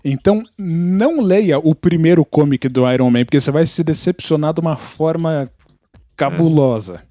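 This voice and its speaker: A man's voice: fairly high pitch, moderate pace, warm tone, dry recording, clean studio sound. A Brazilian man chatting casually on a podcast, explaining things in an opinionated, informal way. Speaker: podcast participant. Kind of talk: casual pop-culture chat.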